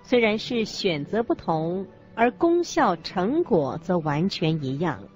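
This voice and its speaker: A woman reading scripted religious teaching as a co-narrator, in a measured, explanatory manner. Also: lowish voice, sing-song, darkish tone. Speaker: co-narrator of a Buddhist teaching radio program. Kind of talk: scripted didactic narration in a radio program. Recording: muffled lo-fi audio with music underneath. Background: background music.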